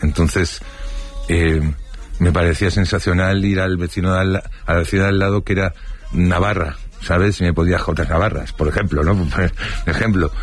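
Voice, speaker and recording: Older spanish man, very deep voice, rambling anecdotes, faint music behind. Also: fairly even intonation, moderate pace, husky. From Spain. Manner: rambling, anecdotal, full of fillers and restarts. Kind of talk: casual storytelling interview.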